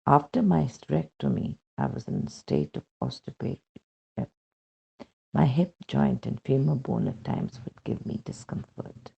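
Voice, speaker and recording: A man reading a scripted spiritual testimony in a gravelly, husky voice, with measured, expressive storytelling and emphatic phrase openings. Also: mid-range voice. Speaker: reader of devotional testimonials. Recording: dull, lo-fi voice recording.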